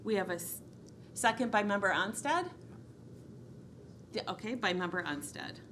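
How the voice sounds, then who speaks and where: A woman's voice: medium pitch, lively intonation, normal speed, a bit bright. A woman with a Minnesotan accent chairing a city council meeting, reading agenda items in formal procedure with frequent ums. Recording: noisy council chamber recording.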